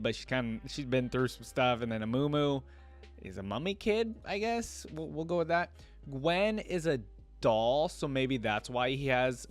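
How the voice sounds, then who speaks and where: A man giving casual, rambling reaction commentary as a video host, often checking in with the listener. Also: medium pitch. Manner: rambling, checks in with "right?" and "you know".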